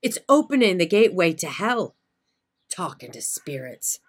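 An actress playing an indignant, devout middle-aged sister in a radio play, scolding sternly and emphatically. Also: female voice, on the low side, very animated, normal speed, breathy edge. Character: seething, devout, indignant sister of a small-town landowner.

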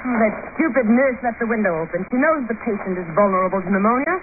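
A woman's voice: on the high side, lively intonation, quick pace, phone-quality sound, natural voice. An actress in a 1950s radio drama playing a haughty woman surgeon, formal and indignant. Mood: cool, defensive. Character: cool, haughty woman surgeon under suspicion. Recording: noisy, band-limited old radio recording.

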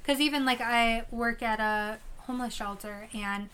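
A young woman co-hosts a casual faith podcast, her voice hoarse from a long day of talking. Her tone is bright and a little high, with a flat, steady delivery as she reflects earnestly, and soft music plays faintly behind her. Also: normal speed, poor audio. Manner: earnest, reflective, filler-heavy. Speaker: podcast co-host.

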